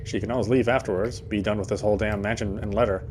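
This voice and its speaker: A man's voice: on the low side, steady tone, quick pace, darkish tone, a little hiss or hum. A man reading a horror game's first-person narration aloud in a dramatic storytelling style. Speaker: let's player reading game text aloud.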